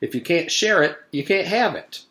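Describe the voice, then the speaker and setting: A man's voice: on the high side, animated, moderate pace, bright, forceful tone, voice pushed up. A man voicing a fair-minded schoolyard playmate with a firm, plain-spoken manner in a children's storybook. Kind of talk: children's storybook read-aloud.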